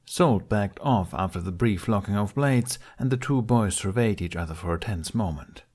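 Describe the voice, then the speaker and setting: A man's voice: on the low side, lively intonation, normal speed, a little mellow. A man reading a fantasy adventure story aloud as a scripted audiobook narration.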